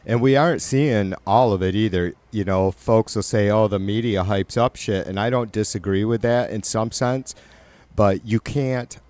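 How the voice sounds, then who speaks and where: A middle-aged man with a nasal, raspy voice, hosting a livestream and chatting loosely with viewers, rambling and swearing. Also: fairly low pitch, normal speed, darkish tone, dry recording. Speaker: livestream host. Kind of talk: casual chat with live viewers.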